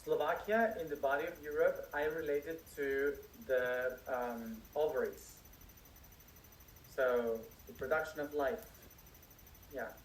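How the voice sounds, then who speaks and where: A man answering audience questions as a spiritual teacher, speaking slowly with many restarts and fillers. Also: mid-range voice, steady tone, a little hiss or hum. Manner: slow, searching speech with many restarts and fillers. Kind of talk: live Q&A, unscripted. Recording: echoey room, distant mic, faint crickets.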